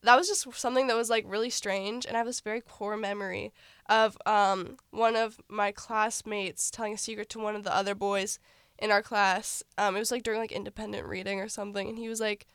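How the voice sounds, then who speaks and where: Stuffy-nosed teen girl, smooth voice. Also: mid-range voice, little pitch movement, brisk, fairly bright tone, no echo, studio quality. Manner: rambling storyteller, heavy use of "like". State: stuffy from a cold. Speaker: podcast co-host. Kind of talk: casual chat.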